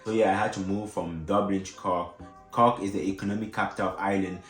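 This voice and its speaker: A young man with French as his first language, talking through advice in a loose, rambling way full of restarts and fillers. His voice sits very low. The room around him rings with echo, and a little music plays softly underneath. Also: fairly even intonation, fast. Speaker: vlogger. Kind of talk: casual advice vlog. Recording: echoey room with faint music.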